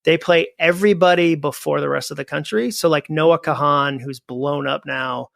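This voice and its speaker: A man in his forties from New England, a podcast guest telling rambling, animated stories with lots of fillers. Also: fairly high pitch, normal speed, vocal fry, no echo, clean studio sound. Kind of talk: casual conversation.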